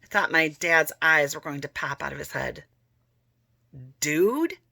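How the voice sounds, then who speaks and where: A woman reading a children's book aloud, with chatty asides to listeners and soft whispery moments. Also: low voice, flat delivery, normal speed, gravelly, no room sound, clean studio sound. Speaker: storyteller.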